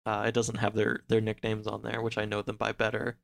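A man speaking as a podcast guest, discussing a book in a casual, halting, conversational way. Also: fairly low pitch, completely flat delivery, creaky.

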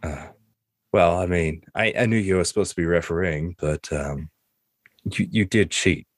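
A man voicing a smug, smooth-talking supernatural villain who is mockingly polite. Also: low-pitched, normal speed, dry recording, studio quality. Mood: feigned innocence.

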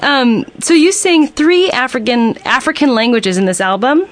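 A woman's voice: on the high side, lively intonation, moderate pace. A woman hosting a radio interview, asking questions hesitantly with fillers. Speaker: radio host.

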